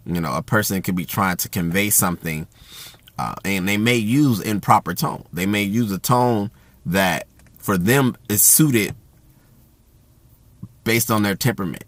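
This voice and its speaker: A man talking casually about communication in an even, self-described monotone, with a loud voice that carries and plenty of fillers and restarts. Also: sits low, no echo. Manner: rambling with frequent fillers and restarts. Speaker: host.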